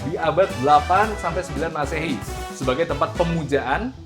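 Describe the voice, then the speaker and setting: A man's voice: a little high, brisk. A man hosting a quiz show, reading out clues and scores with emphatic, stretched key words. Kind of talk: lively game show presenting. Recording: music playing behind the voice.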